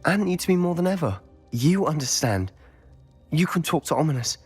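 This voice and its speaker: A man's voice: a little high, very animated, moderate pace, a little mellow, breathy edge, faint music behind, heavily acted. An actor playing a guilt-ridden young male student, speaking in a pleading, emotional way in a video game. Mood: distraught.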